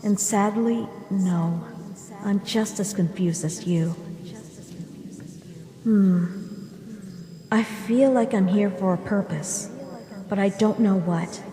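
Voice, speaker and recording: Mellow, echoing, wistful monster mother, played by a woman. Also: mid-range voice, takes it slowly, pauses often, natural voice. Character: gentle, wistful monster mother returned from death. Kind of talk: dramatic comic dub voice acting. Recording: reverberant hall echo, music behind.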